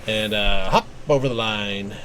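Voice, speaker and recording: A man's voice: fairly low pitch, a little slow, fairly bright tone, a little hiss or hum. A man doing casual live gameplay commentary as a streamer, rambling and thinking aloud as he goes. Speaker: game streamer.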